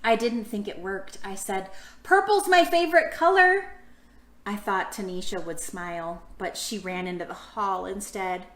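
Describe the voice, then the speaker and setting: A woman's voice: medium pitch, steady tone, moderate pace, few pauses, a little hiss or hum. A woman leading a storytime for young children, reading aloud and asking her listeners questions in an encouraging way. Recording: roomy, echoing room sound.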